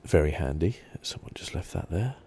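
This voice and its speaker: A man giving quiet, understated ASMR commentary over a video game in an unhurried murmur. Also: low-pitched, lively intonation, slight background noise. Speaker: gaming streamer.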